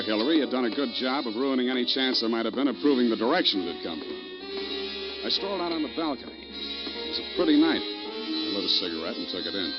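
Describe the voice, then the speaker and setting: A man's voice: lowish voice, lightly acted. A man playing a cynical, wisecracking private detective in an old-time radio drama, with a tough, wry, clipped delivery. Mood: matter-of-fact narration. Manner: hard-boiled, wry, clipped. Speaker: lead actor and narrator. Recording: vintage radio recording, dull, loud music behind.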